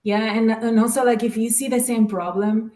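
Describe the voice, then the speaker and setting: A woman's voice: fairly high pitch, moderate pace, echoey room. A woman from the Dominican Republic, a program manager, chatting casually as a webinar guest on a video call, with lots of fillers like "like" and "um". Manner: relaxed, many fillers like "like" and "um".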